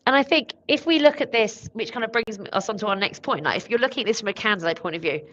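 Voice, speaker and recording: A woman's voice: fairly high pitch, expressive, quick pace, fairly bright tone, no room sound. Emphatic woman, dry muffled call audio. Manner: emphatic, informal. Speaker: industry expert panelist. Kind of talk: conversational business webinar discussion. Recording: dull, band-limited online call audio.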